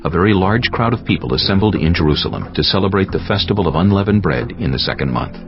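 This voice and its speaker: A man narrating a dramatized scripture audiobook in a measured, solemn storytelling manner. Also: sits low, expressive. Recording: dull sound with music behind. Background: prominent music.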